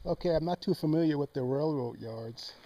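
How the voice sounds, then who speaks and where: A man's voice: on the high side, brisk, lo-fi sound. An American man talking casually as an amateur radio operator making calls outdoors. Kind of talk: casual ham radio conversation. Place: outdoors on a mountain. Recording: loud noise, clicks, outdoor mountaintop.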